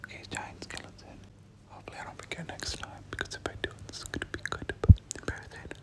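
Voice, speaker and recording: A person doing whispered ASMR game commentary, speaking casually in gamer slang and often repeating short phrases. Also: fast. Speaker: gameplay commentator.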